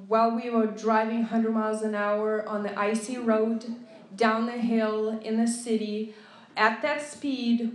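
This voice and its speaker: A woman in her thirties from Lithuania with a Lithuanian accent, a motivational speaker telling her story earnestly to a group, with many fillers and soft laughs. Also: on the high side. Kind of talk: inspirational talk to a group. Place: meeting room at a friend's house. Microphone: phone voice recorder app. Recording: echoey room on a phone recorder.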